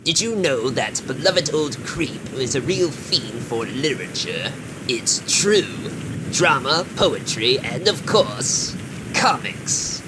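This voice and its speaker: A sly old creep of a horror-comic host, played by a man, tells the tale theatrically. The delivery is very slow and ghoulish, rising and falling widely, over clear background noise. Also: mid-range voice, sing-song. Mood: sly, gleeful. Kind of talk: horror anthology narration.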